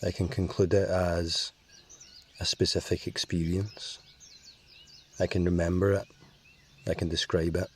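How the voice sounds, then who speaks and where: A man guiding a meditation in a calm, measured way with long pauses. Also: sits low, slight background noise. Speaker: meditation guide. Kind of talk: slow guided meditation.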